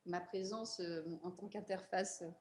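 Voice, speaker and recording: A woman's voice: medium pitch, fairly even intonation, a little slow, darkish tone. A woman speaking on a panel, hesitant and full of fillers. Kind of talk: panel discussion.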